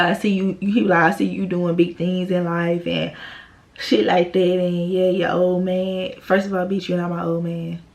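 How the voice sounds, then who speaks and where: A woman, telling a profane, animated storytime to her vlog viewers. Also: lowish voice. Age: 20s. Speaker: vlogger. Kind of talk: casual storytime monologue.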